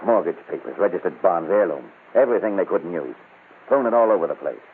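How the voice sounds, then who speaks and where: An authoritative police captain in a vintage radio crime drama. He is very deep and quick, on a narrow, tinny recording. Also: expressive. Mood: grim, businesslike. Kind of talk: old-time radio crime drama acting. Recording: band-limited old radio recording, light hiss.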